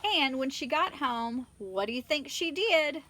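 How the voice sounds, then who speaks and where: A woman reading a children's story aloud in expressive scripted narration. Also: high voice, unhurried. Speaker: storybook reader.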